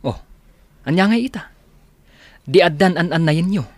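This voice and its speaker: A man's voice: fairly high pitch, expressive, normal speed, no room sound. An actor playing a stern, earnest policeman son who speaks admonishingly in an Ilocano radio drama. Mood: reproachful. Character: stern grown policeman son scolding his father.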